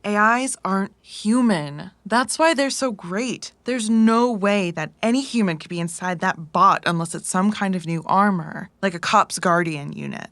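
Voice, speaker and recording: A woman's voice: medium pitch, normal speed, few pauses, fairly bright tone, no echo, close to an everyday voice. A woman playing a blunt, skeptical cyberpunk runner with a dry, frank manner in an audio drama. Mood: matter-of-fact. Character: blunt, sardonic female cyberpunk runner, fond but skeptical.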